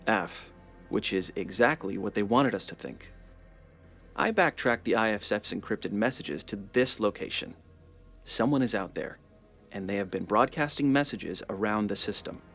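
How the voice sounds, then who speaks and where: Sci-fi military intelligence pilot, lowish voice, measured and formal, music underneath, game cutscene. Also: male voice, lively intonation, gravelly, fairly bright tone, exaggerated voice. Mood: earnest briefing. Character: earnest analytical male mech pilot and intelligence expert. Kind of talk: video game cutscene acting.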